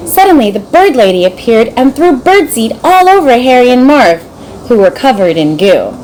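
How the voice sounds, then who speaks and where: Female storybook reader, high-pitched, dramatic and expressive, with some background noise. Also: low-quality recording. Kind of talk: expressive scripted children's story narration.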